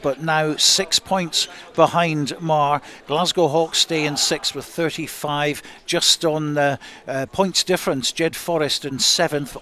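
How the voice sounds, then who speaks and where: A man's voice: on the high side, a bit bright. A man hosting a radio sports show, reading out results in a live round-up.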